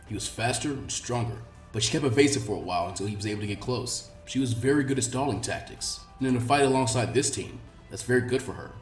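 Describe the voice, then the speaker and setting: A man voicing a laid-back adult ninja instructor who is gruff, blunt and exasperated, in a story reading. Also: mid-range voice, fast talker, few pauses, echoey room, recorded from a distance, soft background music, voice pushed down, natural voice. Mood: appraising. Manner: blunt, deadpan.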